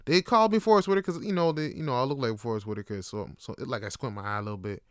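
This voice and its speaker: A young man hosts his own talk show in a relaxed, rambling monologue. He is slangy and playful, and his voice comes across smooth and crisp. The sound is dry, with almost no room echo. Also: mid-range voice, racing. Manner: slangy, animated, joking asides. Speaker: podcast host. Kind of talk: casual monologue.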